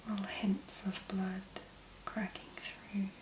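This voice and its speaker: A woman speaks in a soft, breathy whisper, taking her time over every phrase. She gives gentle, musing ASMR commentary as she works. The sound is noticeably roomy, with echo around the voice. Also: medium pitch, monotone. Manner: slow, gentle, unhurried musing. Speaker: ASMR video creator.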